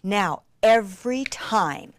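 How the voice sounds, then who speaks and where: Woman doing a craft demo, super excitable and gushy, slow paced w soft breathy asides, close dry sound. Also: medium pitch, no room sound. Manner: excitable and gushing, with breathy exclamations; drops into soft, near-whispered asides. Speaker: craft demonstrator and presenter. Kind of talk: enthusiastic crafting tutorial to camera.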